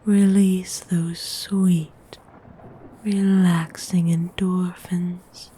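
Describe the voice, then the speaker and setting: Woman acting a slow, whispering vampire noblewoman. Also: lowish voice, flat delivery, dark tone, exaggerated voice. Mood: soothing, seductive. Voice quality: breathy, whispery. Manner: slow, soothing, tender, deliberate. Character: gentle, seductive, courteous female vampire noble. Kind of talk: intimate ASMR roleplay. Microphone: close microphone.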